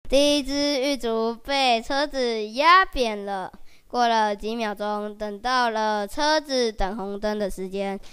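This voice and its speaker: A woman's voice: on the high side, takes it slowly, a bit bright, clear, resonant tone. A young female narrator, reading a scripted children's story. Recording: music behind.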